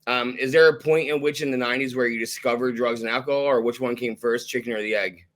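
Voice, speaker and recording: A man's voice: medium pitch, fairly even intonation, fast talker, a bit bright. Man, 30s, podcast host, laid-back, laughs a lot, casual swearing. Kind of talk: casual interview chat.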